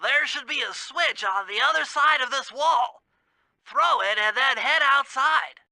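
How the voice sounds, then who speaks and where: A man's voice: very high-pitched, animated, a little slow, pushed and bright, no room sound, heavily acted. A man voicing a fussy, brainy gadget expert in a video game, speaking in a precise, formal, instructive way. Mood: earnest, instructive. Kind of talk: video game mission dialogue.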